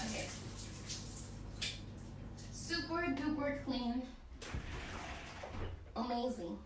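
A woman doing an intimate girlfriend roleplay in casual one-sided chat, playful and teasing, often halting and repeating words. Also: high-pitched, lively intonation, far from the mic. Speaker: girlfriend roleplay audio performer. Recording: very echoey bathroom-like sound, off-mic, background water noise.

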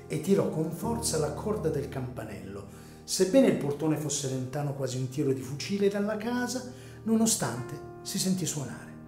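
A man narrating a dramatic story from a script in a measured, solemn, literary manner. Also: fairly high pitch, very animated. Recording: echoey room, music underneath.

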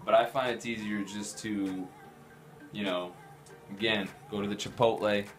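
A man in his thirties with a fairly low voice leads a casual house tour. He talks in sardonic, mock-showy slang and swears freely. He is picked up by a close handheld camera in small rooms, with some music present. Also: fairly even intonation, unhurried. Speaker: vlogger giving a house tour.

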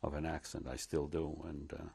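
An older man from Poland, seated in a living room with a lapel mic, recounting his memories slowly and reflectively, with many pauses and restarts. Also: extremely low pitch, steady tone, gravelly, a little mellow, breathy edge. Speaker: interviewee.

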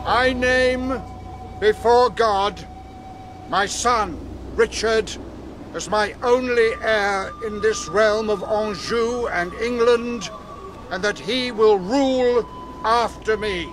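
An actor playing an old, embittered, defeated English king in a historical radio drama, proud and solemn in halting phrases. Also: high voice, over music. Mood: grudging, solemn. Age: older.

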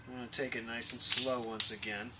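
Male DIY presenter, average pitch, casual and plain-spoken, thinking aloud while working, clearly noisy ambient camera sound. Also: little pitch movement, fairly quick. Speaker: DIY instructor. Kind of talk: casual hands-on tutorial talk while working. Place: inside a plastic-covered wood-framed dome greenhouse.

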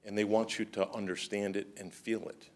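A middle-aged man giving a formal public comment in a deliberate, solemn, emphatic manner. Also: lowish voice, little pitch movement, darkish tone. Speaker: public speaker at a village board meeting. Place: indoor meeting room with seated audience.

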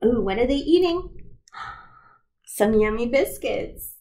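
High-pitched young woman, slow toddler storytime host. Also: slight background noise. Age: young adult. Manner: bright-eyed, encouraging, sing-song and expressive. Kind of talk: toddler storytime with songs and rhymes. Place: indoors in front of a decorated backdrop.

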